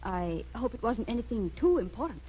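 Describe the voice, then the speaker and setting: An actress playing a spoiled young socialite in a 1930s radio drama, with an affected, breezy and snobbish manner. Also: female voice, a little high, very animated, brisk, husky, heavily acted. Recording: muffled 1930s radio recording.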